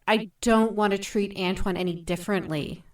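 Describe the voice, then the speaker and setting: A woman in a thoughtful podcast discussion, speaking in a deliberate, measured way with emphatic phrasing. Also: mid-range voice, steady tone, distant mic.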